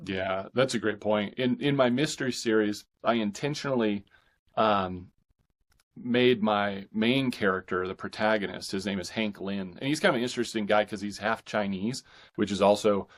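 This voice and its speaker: Male podcast guest, low voice, halting and conversational, thinking aloud as he talks. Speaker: podcast guest, fiction author. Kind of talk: casual interview conversation.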